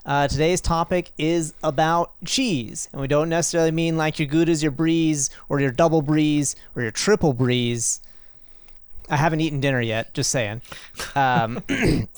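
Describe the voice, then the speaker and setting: Guy hosting a games podcast, kinda high, smooth clean voice, dry sound. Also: fairly even intonation, no echo. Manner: upbeat, playful intros, quick rambling asides. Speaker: podcast host. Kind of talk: casual podcast chat.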